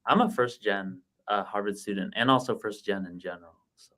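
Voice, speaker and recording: A man's voice: on the low side, brisk, bright, forceful tone. A young man from Vietnam, a student guest, giving short, hesitant answers in a casual interview. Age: young adult.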